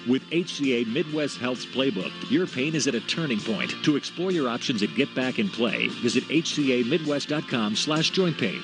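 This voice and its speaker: A man's voice: mid-range voice, lively intonation, moderate pace, few pauses. An American man reading a scripted sponsor advertisement for radio. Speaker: advertisement announcer. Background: music.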